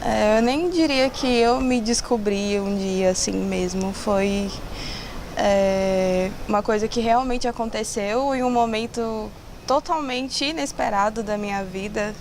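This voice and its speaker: A woman's voice: mid-range voice, fairly even intonation, a little slow. A woman, an economics student giving a candid personal testimony. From interior of Minas Gerais, Brazil. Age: 20s. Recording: noisy background ambience.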